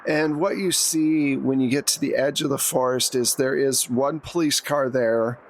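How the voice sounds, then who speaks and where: A man's voice: medium pitch, steady tone, moderate pace. A man acting as game master, narrating scenes to players in a conversational way and asking them for rolls. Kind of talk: narrating a tabletop roleplaying game.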